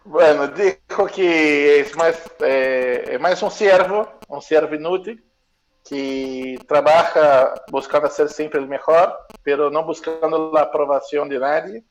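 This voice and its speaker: A man interpreting in consecutive fashion, mixing two languages with a halting manner. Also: a little high, muffled recording. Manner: mixes Spanish and Portuguese, halting.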